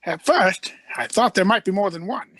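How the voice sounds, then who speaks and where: Radio play: eccentric time traveler played by a woman, husky, halting, slyly evasive. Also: mid-range voice, lively intonation, fairly bright tone, no room sound. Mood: playful. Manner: halting, musing. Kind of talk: live script reading, radio play.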